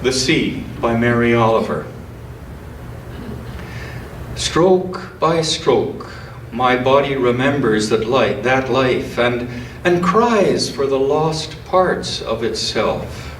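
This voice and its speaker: Slow-paced man reading in a roomy church. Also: medium pitch, expressive. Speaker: church scripture and poetry reader. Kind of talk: church reading with informal commentary. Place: church, speaking to a congregation. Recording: roomy, distant mic, faint noise.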